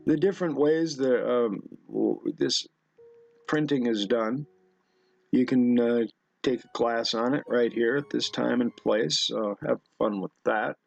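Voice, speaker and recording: A middle-aged man giving rambling off-the-cuff commentary in a halting, musing way, as if talking to himself at his computer. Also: mid-range voice, vocal fry, a little mellow, no echo, muffled recording. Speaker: video host. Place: indoor room at a computer.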